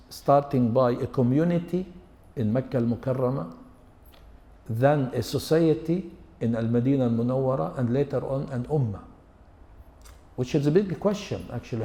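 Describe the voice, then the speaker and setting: A man's voice: mid-range voice, warm tone. Older man lecturing in a reverberant hall. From Lebanon. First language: Arabic. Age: 70s. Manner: measured, builds lists and rhetorical questions. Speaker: Islamic scholar and lecturer. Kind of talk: religious lecture.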